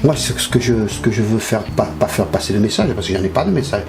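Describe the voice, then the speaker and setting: A man's voice: fairly low pitch, expressive, fast talker, creaky. An older man from France, a painter talking casually in his studio, hesitant and often repeating his words. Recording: roomy studio with music over it. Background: guitar music.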